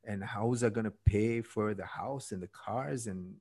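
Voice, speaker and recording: A man in his fifties from Malaysia talks as a podcast guest, his voice sitting a little low. He tells stories at an easy pace, laughs at himself and often lets a sentence trail off. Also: moderate pace, a little mellow. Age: 50s. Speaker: podcast guest, TED speaker. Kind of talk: conversational storytelling.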